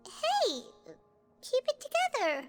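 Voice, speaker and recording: A woman voicing a small, childlike, excitable and boastful companion creature in a video game, with a squeaky voice. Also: takes it slowly, exaggerated voice. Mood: urgent. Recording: voice over loud game music. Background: loud music.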